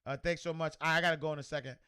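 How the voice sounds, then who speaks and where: A man with a New York accent hosting a livestream, loudly and energetically answering viewer comments in casual chat. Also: on the high side, rapid-fire, clean tone. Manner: loud and energetic, reads comments aloud. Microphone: desk microphone.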